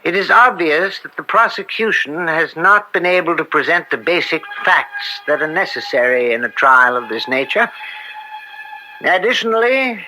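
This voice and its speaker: An actor playing an upstanding, troubled judge and father in an American radio drama, speaking formally and deliberately with an American accent. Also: fairly high pitch, pushed and bright, poor audio. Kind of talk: old-time American radio drama acting.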